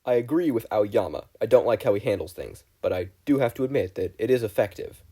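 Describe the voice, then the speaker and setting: Man playing an earnest, dramatic teenage boy, lively intonation. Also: lowish voice, fairly quick, husky.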